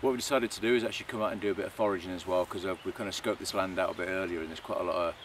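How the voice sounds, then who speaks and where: A man's voice: deep voice, steady tone, rapid-fire. A middle-aged English man with a Lancashire accent, a bushcraft instructor explaining things casually outdoors with fillers and restarts, recorded on a camera mic. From England. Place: outdoors in a grassy meadow by woodland. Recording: faint outdoor background noise.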